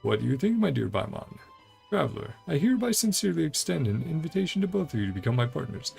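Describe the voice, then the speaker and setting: A man voicing a grandiose, eager treasure-hunting adventurer from a video game, with florid, courtly flattery and theatrical delivery. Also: on the high side, quick pace, vocal fry, faint music behind, pitched above the performer's own voice, heavily acted. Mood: eager, persuasive. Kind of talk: game dialogue read aloud in character.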